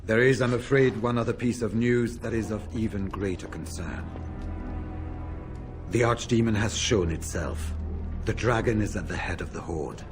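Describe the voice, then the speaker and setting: A man's voice: on the low side, moderate pace, music in the background, close to an everyday voice. A man playing a composed, grave veteran warrior in a video game, speaking formally and with measure. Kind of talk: video game cutscene acting.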